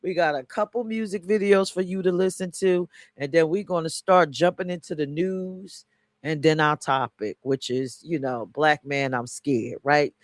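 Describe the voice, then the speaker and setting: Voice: mid-range voice, moderate pace, no room sound. Slangy, chatty radio host, dry sound. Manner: chatty, urging listeners to stay tuned; slangy, informal speech. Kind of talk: casual radio chat.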